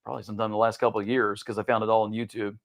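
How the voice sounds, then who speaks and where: A man's voice: fairly low pitch, little pitch movement, rapid-fire. A middle-aged man talking casually as a podcast co-host, recounting things he watched. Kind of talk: casual chat, recounting TV memories.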